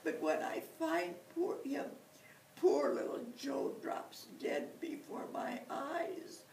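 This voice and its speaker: A woman voicing a big grumpy male bear in a storybook, with a high, breathy, sobbing voice. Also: wildly swooping pitch, drawn-out words, a little mellow, slight background noise, full character voice. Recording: roomy, distant mic.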